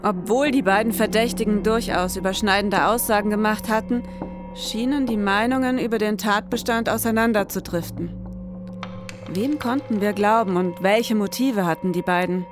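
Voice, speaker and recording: A woman's voice: mid-range voice, normal speed, music in the background. A woman hosting an investigative podcast, speaking as a narrator and investigator.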